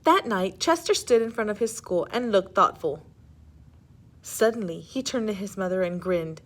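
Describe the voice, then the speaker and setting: A woman teacher reading a children's story aloud in an expressive, instructive way and asking pupils questions. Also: mid-range voice, moderate pace, slight background noise.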